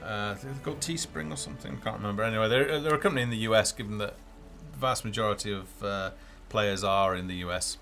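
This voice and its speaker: A man's voice: on the low side, fast, creaky, hardly any pauses, a bit bright. A man hosting a casual game developer live stream, talking off the cuff in a hesitant, rambling way as he thinks aloud. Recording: music playing behind the voice.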